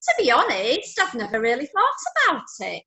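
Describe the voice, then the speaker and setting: An actress playing the defendant's chatty, oblivious wife who gossips cheerfully in court. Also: female voice, high-pitched, wildly swooping pitch, moderate pace, pushed and bright, heavily acted. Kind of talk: amateur radio drama.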